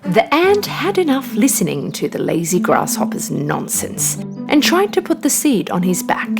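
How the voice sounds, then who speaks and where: A woman's voice: mid-range voice, wildly swooping pitch, moderate pace, gravelly. A woman narrating a scripted children's story as a storyteller. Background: background music.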